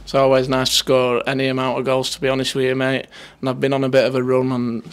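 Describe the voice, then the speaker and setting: A man's voice: medium pitch, completely flat delivery, fast, clean tone. A man in his twenties with a Northern English accent, giving a casual, matter-of-fact post-match interview as a player. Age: 20s. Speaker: footballer being interviewed after a match. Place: indoors in front of a sponsor board. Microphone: handheld interview microphone held near him.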